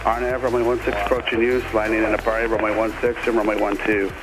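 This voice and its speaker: Male airport information voice, monotone readout, radio sound with loud music under. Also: lowish voice, fast talker, poor audio. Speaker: automated airport information broadcast. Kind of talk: recorded weather readout. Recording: radio broadcast.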